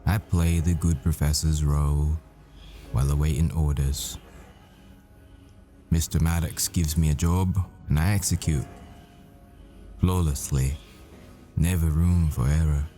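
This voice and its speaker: Boyfriend roleplay lead, male actor: haunted hitman living as a professor, very deep male voice, measured delivery, intimate and smitten. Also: steady tone, dark tone, soft background music. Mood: grave, confessional. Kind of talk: ASMR boyfriend roleplay, dramatic monologue.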